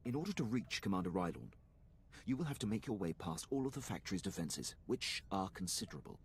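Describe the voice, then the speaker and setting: A man's voice: deep voice, sing-song, fast talker, a little hiss or hum. A man playing an eager, deferential Imperial military officer in a video game, speaking formally and precisely. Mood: briefing, zealous.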